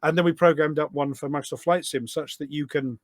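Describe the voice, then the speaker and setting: A man's voice: on the high side, fairly even intonation, a little fast, no room sound, studio quality. A British man telling anecdotes in a casual, enthusiastic, rambling way as a podcast guest. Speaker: podcast guest, voice pack developer. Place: small studio.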